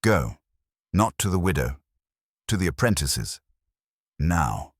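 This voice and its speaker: A man's voice: low-pitched, sing-song, takes it slowly, breathy edge, dry recording, clean studio sound, full character voice. A man voicing an imperious older genius detective with a pompous, terse, oracular manner in an audiobook mystery. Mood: commanding.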